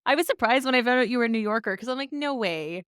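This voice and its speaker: Smooth-voiced encouraging woman host. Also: on the high side, animated, a bit bright, dry recording, studio quality. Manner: encouraging, affirming, many quick yeahs. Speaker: podcast host. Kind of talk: casual interview chat.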